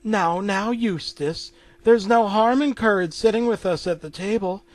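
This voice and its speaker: Man putting on a high-pitched gentle old cartoon wife. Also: measured pace, dry recording.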